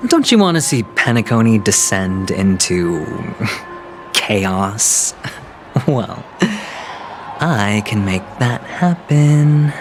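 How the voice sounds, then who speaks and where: A man's voice: lowish voice, sing-song, very slow, over music, full character voice. A male actor voicing a bold, scheming young hero in a video game, defiant and sardonic.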